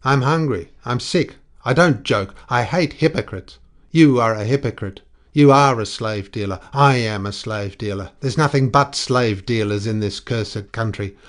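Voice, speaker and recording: A man voicing a furious, insolent colonial soldier in a storybook, snarling and mocking in shouted outbursts. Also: on the low side, animated, normal speed. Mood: angry, accusing. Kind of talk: dramatic storybook character reading.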